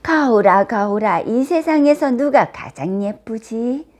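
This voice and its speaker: A woman's voice: fairly high pitch, lively intonation, unhurried, darkish tone. Woman as vain, imperious storybook evil queen. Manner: theatrical, commanding. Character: vain, imperious wicked fairy-tale queen.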